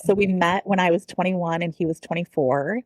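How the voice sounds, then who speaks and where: Low-pitched, chatty woman in her forties. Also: moderate pace, darkish tone, dry recording. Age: 40s. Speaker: podcast guest. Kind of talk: casual conversational interview.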